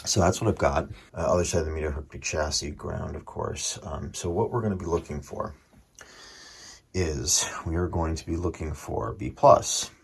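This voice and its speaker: Low, gravelly man thinking aloud. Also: moderate pace. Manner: matter-of-fact, thinking aloud in short phrases. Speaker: hobbyist radio restorer presenting his own repair. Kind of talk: casual workbench demonstration with running commentary. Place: small home workbench. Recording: clearly noisy workshop recording with clicks.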